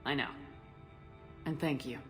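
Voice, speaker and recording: A woman's voice: rumbling low, measured pace. A woman playing a tough, wry military commander in a video game, speaking in a dry, direct way. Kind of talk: video game cutscene acting. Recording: long echoing hall, music behind.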